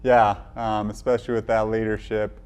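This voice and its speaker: A man's voice: on the low side, little pitch movement, normal speed, a little mellow, clean tone, soft background music. A man who coaches college runners, talking casually in an interview. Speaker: college cross country coach.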